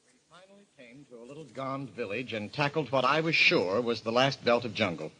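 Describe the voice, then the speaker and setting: An actor playing an arrogant, obsessed explorer in an old-time radio mystery, speaking in a grandiose, theatrical way. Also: male voice, medium pitch, animated, a little fast, sharp tone, husky, poor audio. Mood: enraptured. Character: obsessed, arrogant male explorer enthralled by a cult of destruction.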